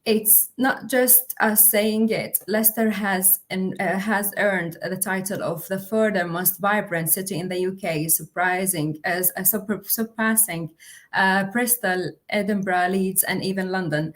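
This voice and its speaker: A woman's voice: medium pitch, steady tone, unhurried. A young woman, a university officer, presenting a livestream with many fillers and restarts. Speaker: international officer.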